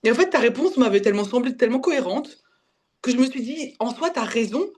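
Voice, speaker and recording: Young woman from Belgium, halting speech. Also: on the high side, expressive, a little mellow, husky. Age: 20s. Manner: halting, self-correcting, slips in English words. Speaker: guest, women's spiritual development guide. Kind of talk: casual personal testimony in conversation.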